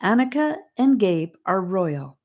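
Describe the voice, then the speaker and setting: A woman's voice: mid-range voice, slow, pitched above the performer's own voice, full character voice. A woman doing a serious, wise guardian. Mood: earnest. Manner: calm and serious.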